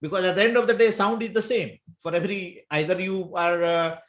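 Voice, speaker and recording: A man's voice: high voice, steady tone, a little fast. A man with an Indian accent, a language teacher whose first language is Hindi, talking through a casual discussion with many fillers and restarts. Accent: Indian English.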